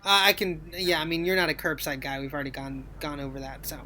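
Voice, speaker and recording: Woman cohost, bright kinda sharp voice, jokey and chatty, long stories. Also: low-pitched, level tone, fast talker. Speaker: podcast co-host. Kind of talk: casual chat.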